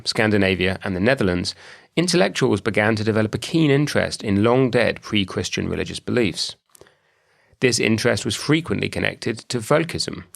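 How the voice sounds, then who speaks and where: A man with a British accent hosting an academic podcast, reading a measured scripted introduction and asking questions. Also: lowish voice, gravelly. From British Isles. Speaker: podcast host. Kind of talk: scripted intro then interview.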